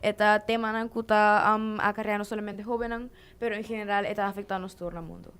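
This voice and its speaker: A woman's voice: mid-range voice, flat delivery, quick pace, fairly bright tone, clean tone. A teenage girl from Aruba talking eagerly about her new youth radio show, switching between languages as she goes. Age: teens. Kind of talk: radio interview talk.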